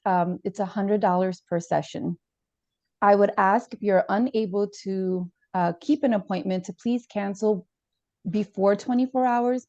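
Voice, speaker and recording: A woman's voice: medium pitch, gravelly, dark tone, dry recording, muffled recording, natural voice. A woman playing a student therapist in a role-played counseling session, explaining policies formally and hesitantly, with many fillers.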